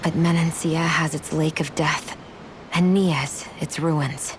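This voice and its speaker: A woman's voice: sits low, fairly even intonation, slow, noisy background. An actress playing a reserved, pensive young woman in a fantasy video game, speaking reflectively. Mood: pensive, guarded. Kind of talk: video game cutscene dubbing.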